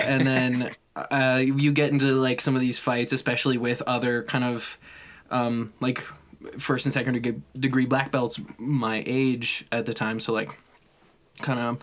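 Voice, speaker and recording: A man in casual chat, talking haltingly with many fillers and self-deprecating asides. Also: mid-range voice.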